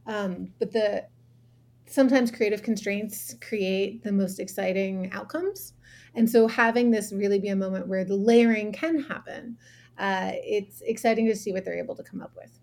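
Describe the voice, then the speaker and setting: A woman's voice: medium pitch, fairly even intonation, normal speed, creaky, a little mellow. A woman speaking thoughtfully about design decisions at a panel. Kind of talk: panel discussion.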